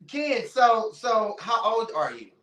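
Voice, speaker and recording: A playful man in his thirties with a high, bright voice hosts a casual interview. He teases his guest and bursts into exclamations. The room is echoey. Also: big pitch swings, takes it slowly. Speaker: podcast host.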